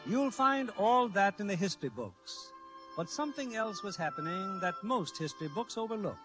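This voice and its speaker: A man's voice: high voice, expressive, moderate pace, music in the background. A man hosting a vintage radio show, reading scripted narration in a formal showman's manner. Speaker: radio host and producer. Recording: vintage 1940s radio broadcast.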